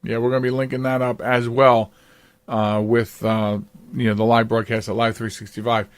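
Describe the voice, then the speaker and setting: A man's voice: medium pitch, flat delivery, moderate pace, no room sound. A man hosting a podcast, chatting casually with a guest in a chatty, rambling way. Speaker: podcast host. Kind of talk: casual interview chat.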